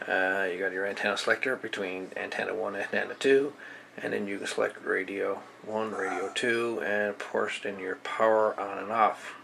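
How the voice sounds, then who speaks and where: A man giving a casual, unscripted product demonstration as an equipment reviewer, speaking haltingly as he searches for words. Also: on the low side, fairly even intonation, vocal fry, background noise. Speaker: amateur radio equipment reviewer.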